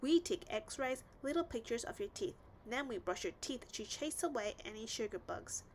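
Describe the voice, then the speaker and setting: A woman's voice: fairly high pitch, expressive, normal speed, a little mellow, a little hiss or hum, lightly acted. A woman playing a friendly, reassuring dental assistant in a storybook, explaining playfully to children.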